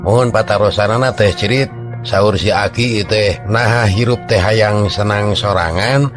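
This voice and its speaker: A man's voice: on the low side, a little slow. A man telling a dramatized folk story as dialogue, in an earnest, conversational manner. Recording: music behind the voice.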